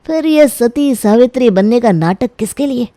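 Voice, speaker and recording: A woman acts out a young man, a scheming brother-in-law in an audio story. The delivery is insinuating and scornful, with a soft dark tone and a dry, close sound. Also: on the high side, expressive, moderate pace, dry recording, pitched above the performer's own voice, lightly acted. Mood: threatening, scornful. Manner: insinuating, taunting, pushy.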